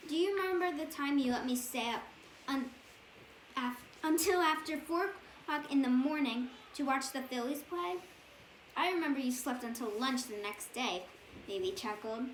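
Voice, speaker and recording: High-voiced woman reading aloud in an echoey room. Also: fairly bright tone. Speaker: storybook reader. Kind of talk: reading a bedtime story aloud. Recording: echoey room with faint background noise.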